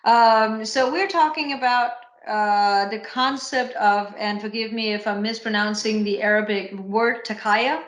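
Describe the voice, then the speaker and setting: A woman interviewing an author, asking her questions in a measured, polite manner. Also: on the high side. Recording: echoey room.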